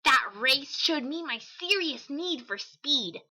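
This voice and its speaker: A woman voicing a brash, confident young female pegasus pony in a fan audio drama, cocky, casual and energetic, on a slightly dull microphone. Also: high voice, takes it slowly, full character voice. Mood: excited, proud.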